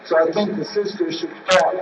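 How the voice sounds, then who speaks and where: A man's voice: fairly high pitch, steady tone, moderate pace, heavy background noise. A man speaking as a delegate from the convention floor, in a plain and somewhat hesitant way. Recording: echoey hall, distant, dull audio.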